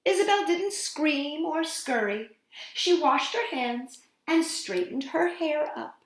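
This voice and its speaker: A woman reads a picture book to young children, her voice rising and falling widely as she leans into the drama of each line. She takes her time, lingering on words and pausing for effect. The room around her rings with a noticeable echo. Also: high voice. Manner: expressive, sing-song storytelling with dramatic pauses. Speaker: children's storytime host. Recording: roomy echo.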